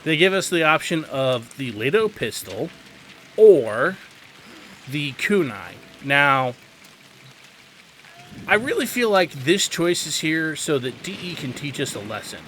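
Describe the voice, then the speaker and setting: A man hosts a video game guide in a bright, forceful voice. His pitch moves freely as he explains things with emphatic opinions and instruction. Faint game ambience of rain sits behind him. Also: a little hiss or hum. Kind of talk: casual game tutorial commentary.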